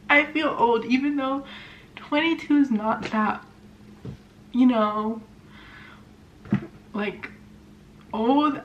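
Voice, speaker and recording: A woman in her twenties from California, chatting casually and rambling with lots of fillers while doing her makeup at home. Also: on the high side. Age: 20s. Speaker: vlogger. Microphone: camera mic. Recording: slightly dull sound with faint hiss.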